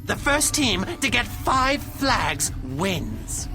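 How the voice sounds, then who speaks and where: A man's voice: sits high, very animated, very slow, music in the background, heavily acted. A man playing a showy robot gambling referee in a video game. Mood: measured. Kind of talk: animated video game dialogue.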